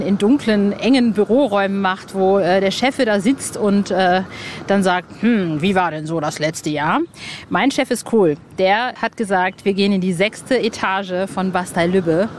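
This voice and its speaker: A woman hosting a podcast interview in an informal, enthusiastic, chatty way. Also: medium pitch. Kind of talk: casual, chatty podcast talk. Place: outdoor roof terrace. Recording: clear background noise, outdoor construction site. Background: construction and city noise.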